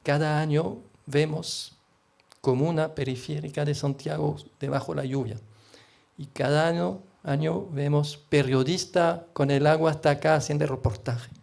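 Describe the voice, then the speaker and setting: Panelist, male, foreign accent, gravelly and dark-toned, halting delivery. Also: a little high, fairly even intonation. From outside Chile. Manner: halting, searching for words. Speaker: forum panelist. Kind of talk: radio panel discussion.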